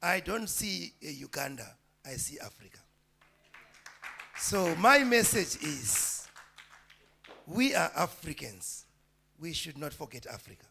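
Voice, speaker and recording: A man, a reverend, preaching to a gathering with emphatic, loud rising declarations. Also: fairly high pitch, big pitch swings, slow, creaky, long pauses, husky. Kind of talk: preaching address.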